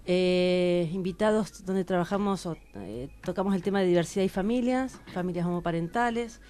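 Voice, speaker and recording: A woman's voice: on the low side, moderate pace, mellow. A woman with an Argentine accent, a radio columnist introducing guests in an informal, affectionate conversational style.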